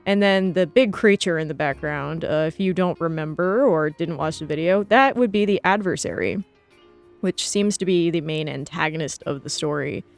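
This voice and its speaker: A woman's voice: sits low, expressive, moderate pace, vocal fry. A young American woman chatting casually and enthusiastically as she gives commentary on art. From New Jersey, United States. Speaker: artist and video host. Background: background music.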